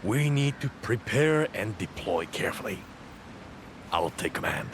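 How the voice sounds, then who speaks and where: Ex samurai general in a game, gruff n decisive, very animated pitch, noisy background. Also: male voice, measured pace. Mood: urgent, commanding. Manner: blunt, decisive military delivery. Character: gruff male former samurai general, commanding and urgent. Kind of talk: video game cutscene acting. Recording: clear noise and ambience behind.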